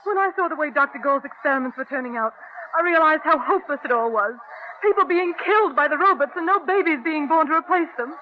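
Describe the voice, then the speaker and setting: A very high-pitched, pleading wife in an old radio drama. She sounds idealistic and distraught, heard through a muffled, noisy vintage recording. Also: animated, quick pace. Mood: anguished confession. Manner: pleading, emotional. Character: anguished, idealistic wife confessing her deed.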